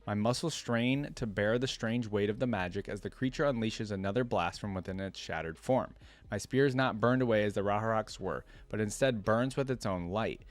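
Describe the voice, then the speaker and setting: A man's voice: fairly low pitch, moderate pace. A man hosting a reaction video, reading a story aloud and adding casual, musing asides.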